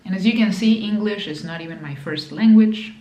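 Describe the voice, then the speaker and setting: A woman's voice: mid-range voice, a little fast, warm tone, echoey room, dull audio. A woman with a Spanish accent, Spanish her first language, giving an emphatic motivational talk to camera as a business mentor, repeating key phrases and addressing the viewer directly.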